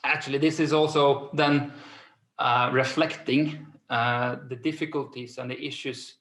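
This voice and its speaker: An echoey video-call recording of a man with a Scandinavian accent. His voice is gravelly with plenty of fry. He hosts informally, feeling his way haltingly from phrase to phrase. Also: medium pitch, fairly even intonation, fairly bright tone. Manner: halting, hesitant delivery with repeated phrases. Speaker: host and business manager. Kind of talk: informal webinar introduction.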